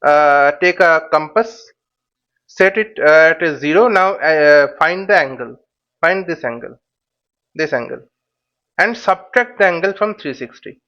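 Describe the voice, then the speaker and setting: A man giving an informal tutorial in a halting, step-by-step way, repeating phrases and checking with short questions. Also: fairly high pitch, animated, bright, forceful tone, muffled recording. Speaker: flight simulation instructor and presenter.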